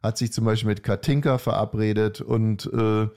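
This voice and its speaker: A man co-hosting a podcast, talking in a casual, hesitant way with many pauses and restarts. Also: fairly low pitch, fairly even intonation, darkish tone. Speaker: podcast co-host. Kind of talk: casual conversational commentary.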